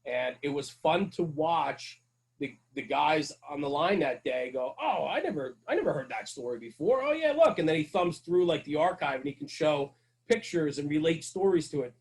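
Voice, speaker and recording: A man's voice: fairly high pitch, lively intonation, moderate pace. A man speaking as a podcast guest, telling stories conversationally in an earnest, emphatic way with rhetorical check-ins. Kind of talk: conversational storytelling about firehouse culture.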